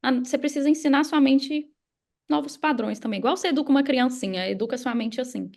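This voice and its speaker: High-voiced Brazilian woman with creaky edges. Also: fast talker, a little mellow. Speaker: podcast guest, digital marketing mentor. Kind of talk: casual motivational interview talk.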